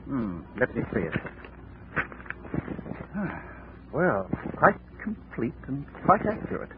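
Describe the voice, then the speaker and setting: An actor playing a stuffy, proper British police inspector in a 1940s radio drama, formal and defensive. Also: male voice, medium pitch, huge pitch swings, slow pace. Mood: musing. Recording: faintly noisy, narrow-band 1940s radio recording.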